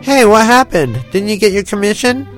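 Excitable young farm boy, shouting, high and eager, man voicing. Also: normal speed, soft background music. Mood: concerned.

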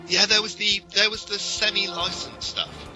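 A man's voice: high voice, flat delivery, moderate pace, sharp tone, soft background music. A man co-hosting a podcast, explaining things in detail in a casual, discursive fan-discussion style. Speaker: podcast co-host.

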